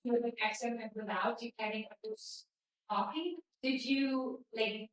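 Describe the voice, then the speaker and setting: A woman's voice: fairly high pitch, unhurried. A woman in the audience asking a spontaneous question at a live event. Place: indoor convention event room. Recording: very echoey hall, distant microphone.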